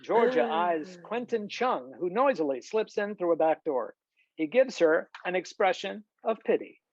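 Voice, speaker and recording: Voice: mid-range voice, lively intonation, normal speed, darkish tone, no echo. A slightly dull, dry video call recording of a narrator with a mid-range voice. The delivery is scripted narration, reading out stage directions for a screenplay.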